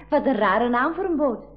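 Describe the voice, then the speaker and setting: A woman's voice: high-pitched, very animated, measured pace. A woman playing a curious, polite but outspoken young girl in an educational radio drama, with a Flemish accent and an inquisitive manner. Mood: curious, doubtful. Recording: dull 1980s radio drama recording.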